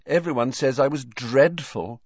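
A man's voice: medium pitch, normal speed, no room sound, dull audio, voice pushed up, natural voice. A man voicing a dreamy, guileless, eccentric schoolgirl in an audiobook, airy and matter-of-fact. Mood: wistful. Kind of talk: audiobook character voice.

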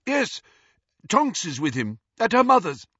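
A man voicing a kindly, awkward man in an audiobook who hastily tries to smooth things over. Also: a little high, sing-song, moderate pace, dry recording, highs cut off, voice pushed up. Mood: startled, over-bright. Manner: hasty, over-bright.

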